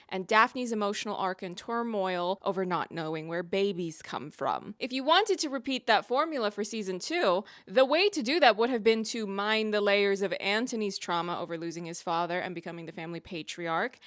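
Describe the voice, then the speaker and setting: A woman's voice: mid-range voice, fairly bright tone, no room sound, clean studio sound. A woman giving opinionated video essay commentary as a reviewer, with emphatic delivery and wry, exasperated asides.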